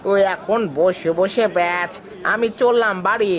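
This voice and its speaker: Cartoon village farmer, boastful rival type, high-pitched male performer. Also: fairly even intonation, measured pace, fairly bright tone. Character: boastful village farmer Ganesh, competitive and taunting. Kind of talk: Bengali animated moral tale dialogue.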